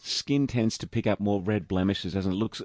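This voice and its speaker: A man with an Australian accent and a croaky voice, hosting a chatty radio health show and joking with his co-hosts. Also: on the low side, moderate pace, darkish tone. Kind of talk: casual chat. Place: radio studio.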